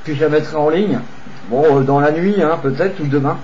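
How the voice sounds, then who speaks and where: Man, CB radio operator, slightly high voice, repetitive hesitant chat, faint noise. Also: a little fast, darkish tone. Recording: dull, slightly noisy CB radio recording.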